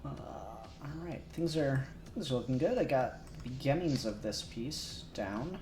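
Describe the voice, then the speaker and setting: A man's voice: medium pitch, measured pace, roomy sound, slight background noise. A man casually chatting on a livestream, thinking aloud as he works on a 3D model. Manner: relaxed, thinking aloud while working. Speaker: co-host modelling on stream.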